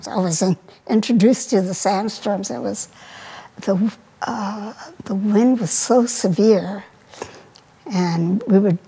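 Older lady, breathy, slow, keeps pausing to find words. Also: mid-range voice, warm tone, rough recording. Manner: halting, searching for words. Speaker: interviewee. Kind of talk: recalling childhood memories.

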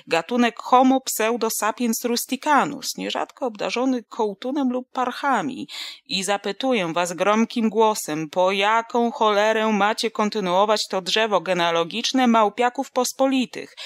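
A man's voice: high voice, fairly bright tone, no room sound, voice pushed up. A reader voicing a grandiloquent, tipsy male vagabond philosopher who speaks in a pompous, mock-oratorical way.

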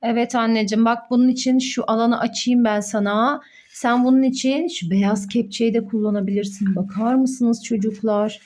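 Young adult woman, high-pitched voice, playful children's video narration, exclaiming, questioning, motherly host. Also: steady tone, moderate pace, hardly any pauses, dark tone. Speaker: mother hosting a children's toy play video.

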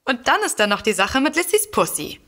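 Polite, dry, sceptical writer. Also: female voice, sits high, big pitch swings, fairly quick, pushed and bright, heavily acted. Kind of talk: audio drama dialogue.

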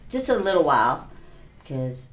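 Older woman from Hawaii, casting: deep low voice, candid halting interview talk, roomy echo. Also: very animated. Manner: halting, plainspoken, many "you know"s. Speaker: interview guest. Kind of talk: candid life story interview. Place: small indoor studio, seated before a backdrop.

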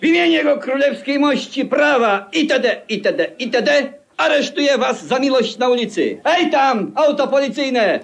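Shrill, creaky pompous policeman. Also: lively intonation, measured pace, exaggerated voice. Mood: officious. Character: pompous, barking, mechanical-minded policeman. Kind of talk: 1950s radio play acting. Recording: crackly vintage 1950s radio recording.

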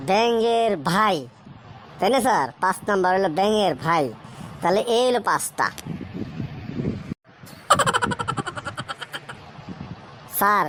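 A woman's voice: medium pitch, slight background noise, lightly acted. A woman voicing a dim-witted, eager schoolboy in a comic cartoon, with a rural Bangladeshi Bengali accent and a repetitive, muddled manner. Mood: proudly muddled. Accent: Bangladeshi Bengali, rural colloquial. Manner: slow, repetitive, keeps seeking confirmation.

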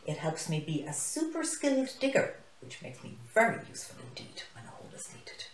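A woman's voice: mid-range voice, very animated, brisk, pitched above the performer's own voice, lightly acted. A woman voicing a friendly, earnest young male warthog pupil in a storybook. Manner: eager, heartfelt. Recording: roomy, echoey living room.

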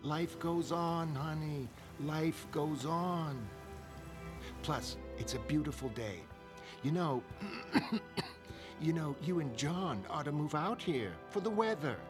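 A man acts out an elderly mother from a dramatized comic book. She is folksy and chatty, fussing and forgiving with a wistful streak. Her slow, drawn-out delivery rises and falls a lot, with music clearly behind it. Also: fairly high pitch, lively intonation. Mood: chatty, insistent. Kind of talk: dramatic comic-book narration in character voices.

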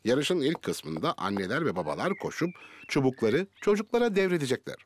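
A man acting as the lively narrator of a children's cartoon, telling the story from a script. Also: mid-range voice, brisk, no echo. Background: music.

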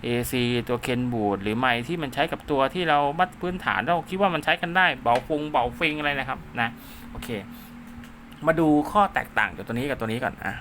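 Bright-voiced man giving a casual hands-on tutorial. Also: mid-range voice, lively intonation, a little fast, slight background noise. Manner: casual, repetitive, with polite particles and spoken sound effects. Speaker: host of a DIY radio repair channel. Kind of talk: informal hands-on tutorial, talking while drawing diagrams.

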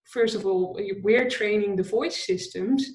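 A woman's voice: mid-range voice, unhurried, darkish tone. Dutch woman in a roomy echo. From Netherlands. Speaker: guest, conversation designer. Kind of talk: podcast interview.

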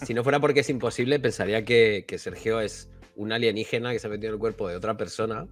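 A man with a fairly low voice and an accent from Spain. He talks as a podcast co-host in casual, colloquial chat. His manner is joking and loose, with some swearing. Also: fast, over loud music.

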